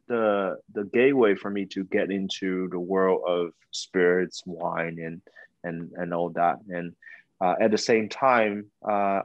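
A young man from Taiwan, talking casually over a video call as a guest, with many pauses and fillers. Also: low-pitched, no echo. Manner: hesitant, many fillers and restarts. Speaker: whisky brand ambassador guest. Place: indoors, room with whisky bottles and banners. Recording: dull lo-fi call audio.

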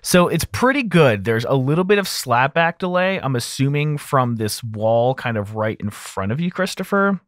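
A man in his thirties talks through a tutorial in a casual, conversational way. His medium-pitched voice is captured up close on a broadcast mic in a small, very dry home studio. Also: lively intonation, moderate pace, few pauses, a bit bright, dry recording. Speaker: audio engineer hosting a mixing tutorial. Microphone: close broadcast mic on arm.

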